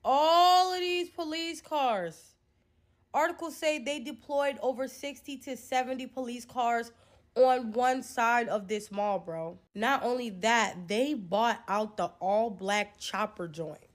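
A woman's voice: on the high side, slow. Young woman, 20s, casual phone-to-camera commentary; emphatic, profane, incredulous. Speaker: social media commentator. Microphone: phone held close, selfie framing.

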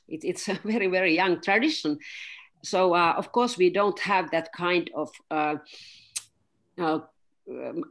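Woman, Finnish accent, lowish voice, hesitant, lots of fillers, video call. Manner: halting, many fillers and restarts. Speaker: guest author. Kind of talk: video call panel discussion. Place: home room.

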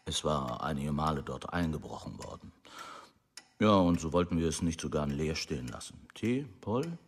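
Genial, deferential husband for radio drama: extremely low, rough-edged voice, unhurried. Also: male voice, expressive, heavily acted. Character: genial, agreeable American husband, deferring to his wife. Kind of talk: German radio drama acting.

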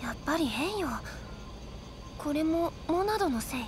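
Feisty young woman, very high voice. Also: expressive, normal speed, background noise. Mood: worried. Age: young adult. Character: caring, feisty young woman, protective and scolding. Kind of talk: Japanese video game voice acting.

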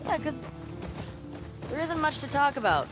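A woman's voice: high-pitched, big pitch swings, unhurried, music in the background. Dry, guarded young woman. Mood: hesitant, guarded. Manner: dry, understated, sarcastic. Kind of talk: naturalistic video game acting.

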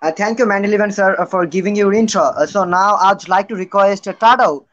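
Young guy from bangladesh hosting, high voice, lots of uh, muffled call audio. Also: brisk, a bit bright. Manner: hesitant, many "uh"s, formal phrasing. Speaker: host. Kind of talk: live-stream hosting. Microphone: video call.

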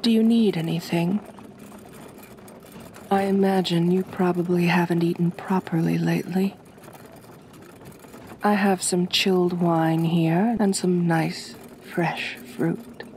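A woman playing a kind but possessive, aristocratic vampire mistress in a soft-spoken ASMR roleplay, gentle and genteel, often close to a whisper. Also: lowish voice, fairly even intonation, slow, long pauses, slight background noise. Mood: gentle, soothing. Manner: gentle, soothing, genteel, formal phrasing.

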